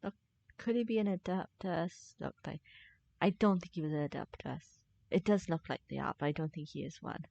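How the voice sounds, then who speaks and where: A woman's voice: low-pitched, normal speed, creaky, a little mellow. A woman streaming a video game with casual, rambling commentary, half talking to herself. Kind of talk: casual live gameplay commentary. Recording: dry close sound, slightly dull band.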